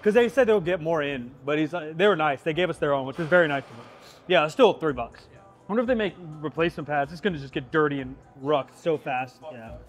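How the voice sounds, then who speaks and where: A man's voice: a little high, lively intonation, soft background music. A young man chatting and joking with a friend while putting together gym equipment in a large indoor gym, picked up by a nearby camera. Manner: joking, quick banter. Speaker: gym vlogger. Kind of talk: casual chat while assembling gym equipment.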